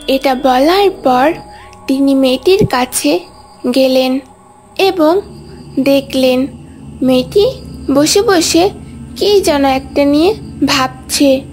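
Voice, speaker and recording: Casting: woman narrator for cartoon tales, high voice, slow delivery, light music bed. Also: a little mellow, rough recording. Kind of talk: scripted cartoon story narration.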